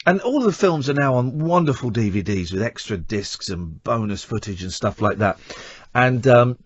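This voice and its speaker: Middle-aged British male radio host, very animated and slightly husky, effusive and rambling, dry but dull broadcast sound. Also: medium pitch, normal speed. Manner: effusive, emphatic, repeating words. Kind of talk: enthusiastic, rambling radio monologue and interview.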